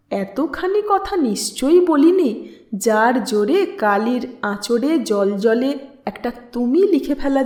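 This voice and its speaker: Female story narrator, slow and expressive, measured dramatic reading, roomy echo. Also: high-pitched. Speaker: audiobook narrator and channel host.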